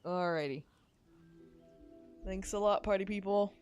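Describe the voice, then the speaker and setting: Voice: mid-range voice, unhurried. A podcast host chatting casually on a livestream in an informal, jokey way. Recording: music behind the voice. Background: music.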